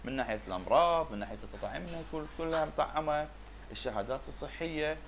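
A man's voice: mid-range voice, expressive, racing. A man in his thirties with a Kuwaiti Arabic accent, talking conversationally as an interview guest and repeating words for emphasis. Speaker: dog breeder and importer, interview guest. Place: pet shop with glass animal enclosures. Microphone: lapel. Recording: faint background noise.

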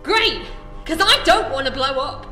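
A woman's voice: high voice, animated, a little slow, bright, forceful tone, full character voice. A woman playing a plucky, blunt young companion to a hero in an audio drama. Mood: blunt, supportive. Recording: echoey room, faint music.

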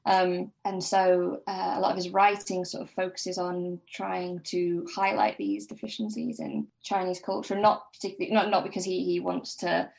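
A woman's voice: fairly low pitch, moderate pace, creaky, few pauses, a little mellow, poor audio. A woman speaking as a podcast guest, explaining things informally and tentatively, piecing facts together aloud.

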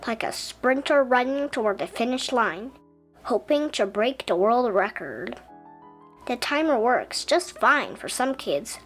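A woman reading a children's story aloud in an expressive, deliberate storybook style. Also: on the high side. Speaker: children's book reader. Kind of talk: expressive scripted storybook narration as a young boy.

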